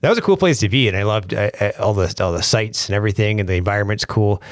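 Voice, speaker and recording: Casting: Canadian man, 30s, comic storyteller, lowish voice, dry close sound. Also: fast talker, dry recording. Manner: jokey, rambling, laughs often. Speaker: comedian and former country musician. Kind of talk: casual interview chat.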